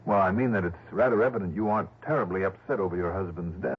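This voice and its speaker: A man plays a calm insurance investigator in a 1960s radio drama, asking questions in a measured, slightly hesitant way. His voice sits low. The old broadcast sound is dull. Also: lightly acted. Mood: probing.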